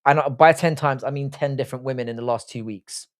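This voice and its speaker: A man's voice: mid-range voice, fast talker. Blunt British man in his forties, livestreaming. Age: early 40s. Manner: blunt, crude, slangy and jokey. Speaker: livestream host. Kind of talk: casual chat, reading and answering live comments.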